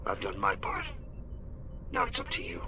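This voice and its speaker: An actor playing an alarmed military officer in a video game, sending a grim warning with tense urgency. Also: male voice, deep voice, wildly swooping pitch, normal speed, bright, forceful tone, a little hiss or hum, heavily acted. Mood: desperate, resigned. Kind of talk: dramatic video game voice acting.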